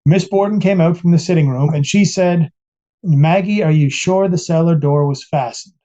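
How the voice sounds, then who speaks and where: A careful 1890s police captain on the witness stand , played by a man, with a fairly high male voice. He gives short, guarded answers in a trial reenactment, and the sound is a little dull and lo-fi. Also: moderate pace, mellow, close to an everyday voice. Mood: guarded, terse. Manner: clipped, hedging answers. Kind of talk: audio reenactment of a court transcript.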